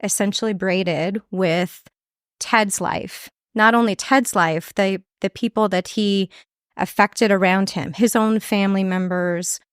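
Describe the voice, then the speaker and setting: A woman from Montana, a guest author telling her story in a measured, descriptive way. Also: medium pitch, fairly even intonation, clear, resonant tone, dry recording, clean studio sound. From Montana, USA. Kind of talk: interview storytelling.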